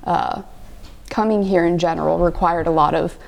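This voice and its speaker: Young woman with a gravelly voice. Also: fairly low pitch, fairly even intonation. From Nebraska, USA. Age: young adult. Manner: halting, with many fillers and restarts. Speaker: international student. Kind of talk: casual interview answers.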